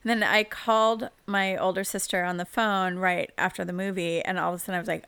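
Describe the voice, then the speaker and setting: Woman guest, gravelly tone, relaxed and giggly, dry mic. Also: mid-range voice, a little fast, dry recording. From Minnesota. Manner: chatty, laughs often. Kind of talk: casual chat.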